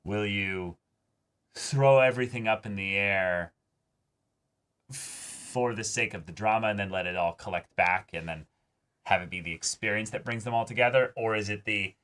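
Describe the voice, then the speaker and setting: A man with a low voice talks through his opinions as co-host of a reaction show. He keeps it casual and conversational, putting on imagined reactions to make his points. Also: moderate pace, a bit bright. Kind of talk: casual discussion and critique.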